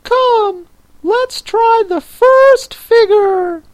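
A woman voicing an excitable yet melancholy male turtle creature from a storybook, in a squealing singsong delivery. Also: extremely high pitch, extremely slow, dry recording, pitched above the performer's own voice, exaggerated voice. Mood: eager, coaxing.

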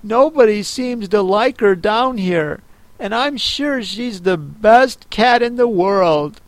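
A man's voice: sits high, animated, slow, no echo, voice pushed up, exaggerated voice. A man voicing an eager, polite little girl in an audiobook, with a pleading manner. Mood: sad. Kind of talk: audiobook character voice.

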